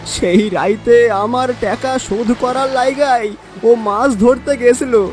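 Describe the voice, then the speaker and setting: Actor as a very high-pitched grieving village man. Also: a little mellow, soft background music. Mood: sorrowful recollection. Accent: rural Bangladeshi. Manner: drawn-out, tearful, lamenting delivery. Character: grieving, emotional village man mourning his drowned friend. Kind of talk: audio horror story acting.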